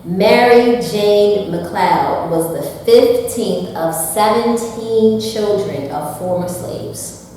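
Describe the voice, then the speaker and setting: A woman's voice: medium pitch, drawn-out words, dark tone. A woman reads a prepared history report aloud and trips now and then over a word before starting it again. She is far from the mic in a very echoey hall with a long reverb tail and a faint hiss. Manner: reads aloud with small stumbles and restarts.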